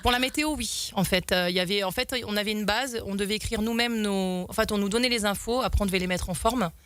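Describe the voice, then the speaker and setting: A woman's voice: mid-range voice, fast, a bit bright, a little hiss or hum. A young woman guest telling stories in a talk show, rambling with many fillers and restarts. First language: French. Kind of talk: casual storytelling in an interview.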